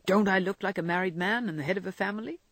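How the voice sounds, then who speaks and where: A woman's voice: on the low side, animated, normal speed, breathy edge, no room sound, studio quality. A woman voicing a mischievous, boyish young married man in a storybook, with playful mock dignity.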